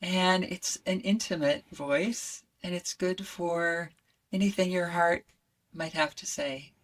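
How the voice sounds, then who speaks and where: A woman voice coach explaining her ideas in an animated, conversational way and breaking into demonstration sounds. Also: on the low side, slow. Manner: expressive, demonstrates voices with yawns, howls and shouts. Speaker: voice coach guest.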